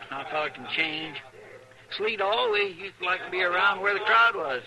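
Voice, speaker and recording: An actor playing a simple, earnest Old West helper with a rural American drawl in a radio western. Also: male voice, high voice, lively intonation, normal speed, a crowd in the background, low-quality recording. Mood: folksy, defensive. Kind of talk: 1950s radio western acting.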